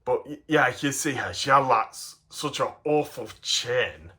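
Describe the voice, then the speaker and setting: A man giving a casual, enthusiastic show-and-tell as a hobbyist, rambling and halting in mid-sentence. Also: mid-range voice, animated, bright, forceful tone. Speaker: hobbyist presenter of custom toy figures.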